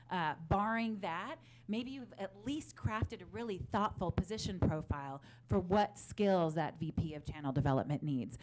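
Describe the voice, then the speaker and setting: A woman's voice: fairly low pitch, darkish tone. A woman moderating a live investor panel, talking in a conversational way with frequent fillers.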